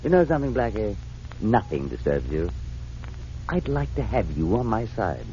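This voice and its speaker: An actor playing a suave, calmly menacing criminal mastermind in a 1940s radio drama, courteous, deliberate and smug. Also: male voice, lowish voice. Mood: suave menace. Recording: muffled, noisy 1940s radio recording.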